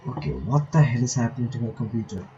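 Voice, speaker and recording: A man with a soft, dark voice plays through a visual novel for his channel. He reads the text aloud and reacts informally between lines. The recording is slightly dull, with faint noise underneath. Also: medium pitch, very animated, quick pace. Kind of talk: casual playthrough commentary, reading game text aloud. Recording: muffled lo-fi mic with faint noise.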